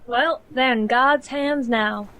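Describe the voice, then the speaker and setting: Casting: woman as idealistic space scientist, firm, fairly high voice, audio drama, slight background noise. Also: takes it slowly, sharp tone. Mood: earnest. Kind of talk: scripted sci-fi radio play.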